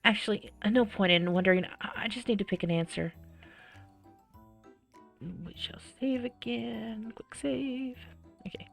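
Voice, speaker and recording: A woman playing through a visual novel, reading aloud and reacting in an expressive, exclaiming way. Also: fairly high pitch, unhurried, creaky, pauses often, faint music behind. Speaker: gaming streamer.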